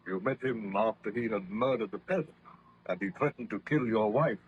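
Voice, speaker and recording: A man's voice: lowish voice, close to an everyday voice. Guy as a nice formal ship captain, old-time radio play, muffled with some hiss. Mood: calm, reassuring. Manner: polite, formal, measured. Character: courteous, reassuring ship's captain. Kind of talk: 1930s radio drama acting. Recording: dull, noisy old radio recording.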